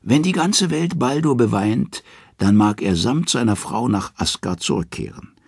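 Storybook underworld queen, played by a man: malicious, imperious, husky and creaky, menacing delivery. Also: mid-range voice, animated, moderate pace, a little mellow, dry recording, studio quality, voice pushed up. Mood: malicious, commanding.

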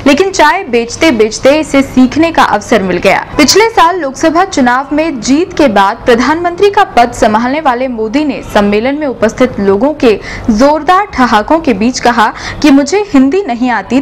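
A young woman news anchor reading scripted headlines in a formal, even newsreader delivery, recorded in a TV news studio. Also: high voice.

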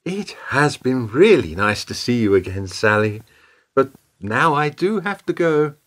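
Actor as a courteous, uneasy stage star. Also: male voice, mid-range voice, very animated, a little slow, a bit bright. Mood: polite, uneasy. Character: courteous, uncomfortable male stage star placating a fan. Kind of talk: radio play acting.

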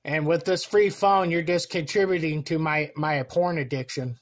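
A man's voice: on the high side, steady tone, normal speed. A man talking casually and mock-earnestly as he makes a joking prank phone call. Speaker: prank caller.

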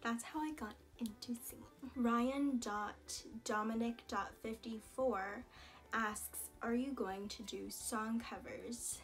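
A young woman from Canada, a singer answering viewer questions in a casual, chatty vlog with relaxed fillers and asides. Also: on the high side, slow pace, faint music behind. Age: young adult. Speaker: singer and vlogger. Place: small indoor room against a plain wall. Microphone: camera in front at head-and-shoulders framing.

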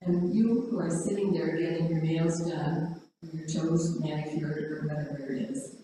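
A woman who speaks Norwegian natively, sharing haltingly in a group discussion and searching for words. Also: deep voice, fairly even intonation, warm tone. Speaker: participant in a prayer vigil. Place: large room at a spirituality center. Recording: echoey hall, distant mic, faint noise.

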